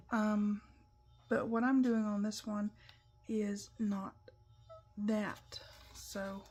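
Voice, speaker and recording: Slow-talking croaky woman crafter. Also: mid-range voice, a little mellow, slight background noise. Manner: chatty, instructive, talks while working with hands. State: croaky, clearing her throat. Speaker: papercraft tutorial host. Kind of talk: casual step-by-step craft demonstration.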